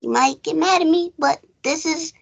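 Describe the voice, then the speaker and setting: A woman's voice: sits high, normal speed, gravelly. A woman hosting a casual movie review chat, speaking emphatically and with strong opinions, often repeating phrases for stress. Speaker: host of a movie review show.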